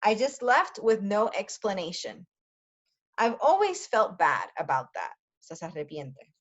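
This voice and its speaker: A woman teacher reading a story aloud for language learners, pausing often to explain words in quick asides. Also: mid-range voice, very animated, muffled recording.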